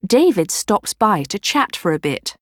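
A woman narrating a language course in a measured, instructional tone. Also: mid-range voice, big pitch swings, no room sound, clean studio sound. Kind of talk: scripted narration. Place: dry studio.

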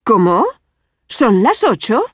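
An actress playing a friendly adult woman who works at a bank, speaking clearly and without hurry in language-course dialogues. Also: a little high, big pitch swings, slow, no echo, clean studio sound, exaggerated voice. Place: dry studio.

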